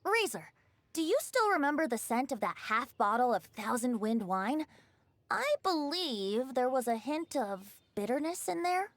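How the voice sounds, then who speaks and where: A woman's voice: sits high, big pitch swings, a little slow, heavily acted. A woman playing a charming, breezy young male bard in a video game, with a theatrical, flowery manner. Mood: playful.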